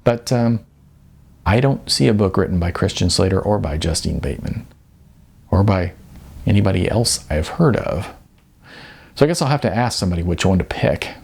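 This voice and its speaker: A man's voice: deep voice, lively intonation, gravelly, darkish tone, husky, exaggerated voice. A man voicing a naive, eager young aspiring actor in an audiobook, speaking hesitantly. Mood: amused, teasing. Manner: hesitant, with stammers and pauses. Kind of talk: audiobook character dialogue.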